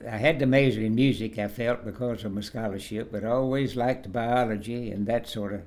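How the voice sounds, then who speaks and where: A man, reminiscing in an oral history interview in a halting way, often repeating and restarting words. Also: medium pitch, darkish tone. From Kentucky, USA. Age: 80s. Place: at his home.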